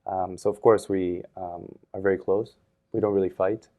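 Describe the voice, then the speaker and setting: Deep, mellow-voiced young man, thoughtful. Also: steady tone, normal speed. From Japan. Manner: thoughtful, hedging with many "um"s and "at the end of the day". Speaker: guest, former Olympic swimmer. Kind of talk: casual interview chat.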